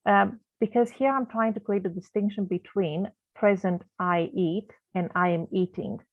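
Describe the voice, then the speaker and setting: A woman's voice: medium pitch, fairly even intonation, moderate pace. A woman teacher from Canada, whose first language is not English, explains grammar patiently, with many fillers and restarts. Her voice comes through a tinny, phone-like video call. The sound is dry, with no room echo. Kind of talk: online grammar lesson.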